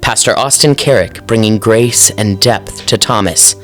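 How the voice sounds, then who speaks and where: A man's voice: fairly low pitch, measured pace, a bit bright. A man reading scripted credits as an announcer, in a formal, appreciative manner. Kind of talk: scripted narration. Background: faint music.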